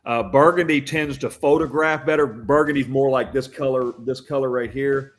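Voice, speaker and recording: Booming older man, chatty and loud. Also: fairly even intonation, moderate pace. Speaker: guest, professional Santa. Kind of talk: casual podcast chat.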